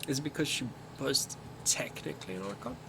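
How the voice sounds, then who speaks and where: Casual game streamer, creaky voice, swinging pitch, musing low-key to self over game music. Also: lively intonation, brisk, heavy background noise. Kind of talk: casual gameplay commentary. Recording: loud game music behind voice. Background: loud game music.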